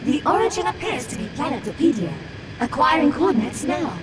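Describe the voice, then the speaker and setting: A woman's voice: sits high, very animated, brisk, distant mic, very noisy. A woman voicing a dutiful spaceship computer in a video game, precise, formal and composed. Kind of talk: video game cutscene acting.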